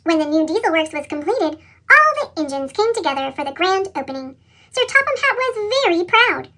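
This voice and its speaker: A woman's voice: very high-pitched, sing-song, a little fast, pushed and bright. A woman reads a children's story expressively, her voice altered with a sped-up chipmunk effect. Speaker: storybook reader.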